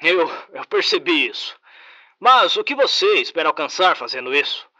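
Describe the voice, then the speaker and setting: A man's voice: a little high, sing-song, normal speed, pushed and bright, dry recording, lo-fi sound. Man playing a patient interviewing researcher. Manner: patient, reasoning, persuasive. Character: calm male researcher interviewing a creature.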